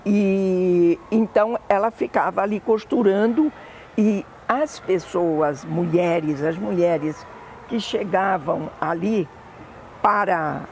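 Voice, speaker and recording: An older woman with a Brazilian Portuguese accent, fondly recalling family memories in an oral history interview, often pausing to correct herself. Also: lowish voice, darkish tone, slight background noise. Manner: fond, meandering reminiscing with self-corrections.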